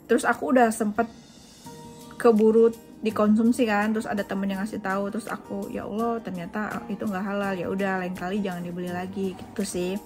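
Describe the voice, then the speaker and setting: A woman's voice: mid-range voice, level tone, brisk, darkish tone, faint music behind. A young woman from Indonesia, a vlogger chatting casually at home as she answers viewer questions, with frequent fillers. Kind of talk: casual chat. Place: home in Japan.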